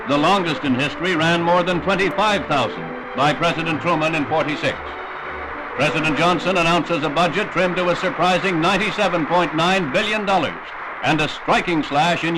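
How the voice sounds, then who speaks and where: A man's voice: fairly high pitch, fairly quick, background noise. A man with an American accent narrating a 1960s newsreel in a formal, declamatory announcer style. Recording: 1960s newsreel soundtrack with music. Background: newsreel music.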